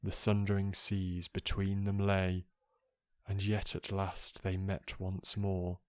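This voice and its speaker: A man reading poetry for relaxation in a calm, measured, soothing manner. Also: low-pitched, no room sound. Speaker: poetry reader.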